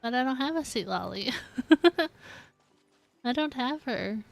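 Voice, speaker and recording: A woman's voice: high voice, expressive, measured pace, vocal fry, a little hiss or hum. A woman giving casual live gameplay commentary, giggly and thinking aloud as she plays. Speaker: video game streamer. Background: game music.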